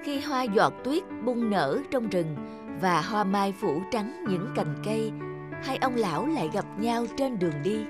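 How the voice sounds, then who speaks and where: A woman narrates an animated folktale from a script. Her voice sits at a middle pitch over a clear bed of music. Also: measured pace.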